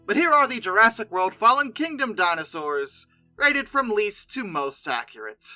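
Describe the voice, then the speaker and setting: Bright, animated, conversational video host. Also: mid-range voice, normal speed, faint music behind. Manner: hesitant, self-correcting, conversational rambling. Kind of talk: casual commentary on film dinosaurs.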